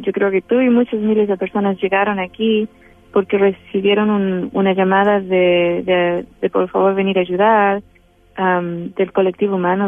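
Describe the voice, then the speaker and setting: A woman's voice: medium pitch, no echo. Hesitant Chilean woman on a phone line. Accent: Chilean Spanish. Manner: hesitant, many fillers and restarts. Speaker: interview guest. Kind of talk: spiritual interview. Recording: tinny phone-line sound.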